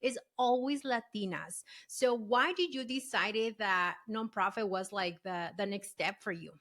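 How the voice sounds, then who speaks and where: A woman's voice: mid-range voice, unhurried, a bit bright. A woman hosting a podcast, asking questions in a casual, enthusiastic way. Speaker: podcast host. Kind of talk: podcast interview conversation.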